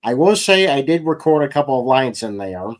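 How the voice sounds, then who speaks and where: A man's voice: mid-range voice, sing-song, fairly quick. A man hosting a casual interview about his creative work, talking as a writer. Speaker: podcast host and comics writer.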